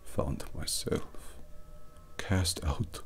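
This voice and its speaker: An actor playing a pompous, melodramatic male vampire prince in a halting, theatrical, whispered ASMR roleplay. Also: a little hiss or hum. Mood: mournful, grandiose.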